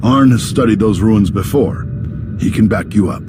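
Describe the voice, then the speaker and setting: An actor playing a composed senior Jedi master in a video game, measured, formal and courteous. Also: male voice, on the low side, lightly acted. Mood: resolute. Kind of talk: scripted video game voice acting. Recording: loud music under the voice.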